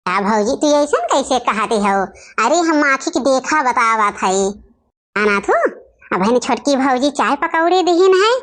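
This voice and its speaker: A woman's voice: high voice, animated, unhurried, a bit bright. A woman with an Awadhi accent voicing a meddling village gossip in a cartoon family comedy, insinuating and stirring quarrels in homely speech. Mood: stirring trouble.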